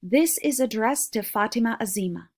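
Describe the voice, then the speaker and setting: A woman's voice: fairly high pitch, lively intonation, normal speed. A woman voicing an earnest young girl who works as a letter reader in a storybook. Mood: reading aloud carefully.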